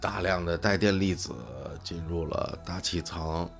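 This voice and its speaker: An actor playing a casual, curious older brother in a science fiction radio drama, speaking colloquially and offhand. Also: male voice, low-pitched, fairly even intonation, a little slow, soft background music. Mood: tipsy, explaining. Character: casual, curious man, big brother in sci-fi future.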